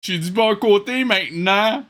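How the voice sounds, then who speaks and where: A man's voice: high-pitched, normal speed, background noise. A man with a Quebec French accent giving casual, exasperated, sarcastic commentary with some swearing. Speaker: commentator mocking an old cartoon. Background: cartoon soundtrack music.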